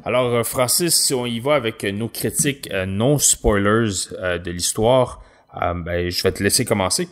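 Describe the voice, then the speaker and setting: Low-pitched Quebec man hosting a podcast. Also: moderate pace, faint music behind. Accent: Quebec French. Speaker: podcast host. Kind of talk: podcast book review, partly reading a synopsis aloud.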